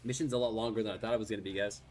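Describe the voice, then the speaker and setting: A man's voice: on the low side, fairly even intonation, racing, darkish tone. A man with a slight lisp whose first language is Gaelic, chatting casually while streaming a game, rambling and self-deprecating, swearing now and then. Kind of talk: casual gameplay commentary. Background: faint game music.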